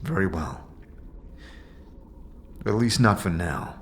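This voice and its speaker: A man's voice: sits low, expressive, takes it slowly, slight background noise, voice pushed down, exaggerated voice. A man playing a weary, wry and reluctant immortal male adventurer in a fantasy audio drama, with a dry, sceptical delivery. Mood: resigned. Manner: dry, sceptical, stammering in protest.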